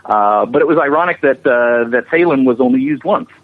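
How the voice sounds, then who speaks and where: A man's voice: mid-range voice, animated, a little fast. A middle-aged man chatting casually as an interview guest, rambling along with self-deprecating jokes. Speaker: interview guest, special effects animator. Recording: narrow-band phone or internet call.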